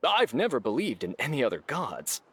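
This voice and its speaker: An actor voicing a skeptical, nervous desert villager in a video game. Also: male voice, medium pitch, wildly swooping pitch, fairly quick, fairly bright tone, husky, full character voice. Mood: skeptical, hopeful.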